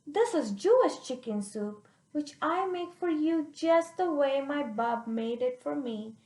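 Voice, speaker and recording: Woman voicing an emphatic, doting storybook grandma, high-pitched. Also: lively intonation, measured pace, darkish tone, roomy sound. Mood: surprised, indignant. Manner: exclaiming, endearing, emphatic. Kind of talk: read-aloud children's storybook.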